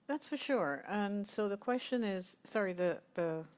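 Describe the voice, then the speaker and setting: A woman's voice: mid-range voice, expressive, measured pace, darkish tone. A woman, sharing thoughtful reflections in a group discussion at a spiritual centre. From Guyana. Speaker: congregation member sharing.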